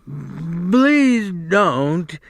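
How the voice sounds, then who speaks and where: A man voicing a big, slow-witted, childlike grown man who talks in a simple, pleading drawl. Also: sits high, very animated, creaky, no echo, voice pushed up, heavily acted. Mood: frightened pleading.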